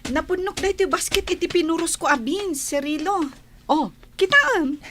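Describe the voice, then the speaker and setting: A woman acting a village woman in a radio comedy drama, speaking slowly and expressively. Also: extremely high pitch, lively intonation, fairly bright tone, a little hiss or hum. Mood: friendly, chatty.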